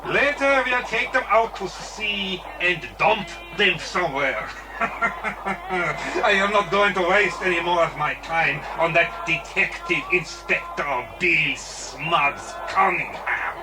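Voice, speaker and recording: An actor playing a cold, menacing boss of gun smugglers in a children's adventure drama, speaking slowly and deliberately. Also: male voice, high-pitched. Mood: cold menace. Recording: distant cassette recording with music.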